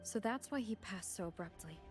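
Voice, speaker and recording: A woman acts an earnest young adventurer in a video game. Her medium-pitched voice is serious and inquisitive, probing for answers. Music plays clearly underneath. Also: expressive, a little fast, close to an everyday voice. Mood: curious, serious. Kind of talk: video game dialogue acting.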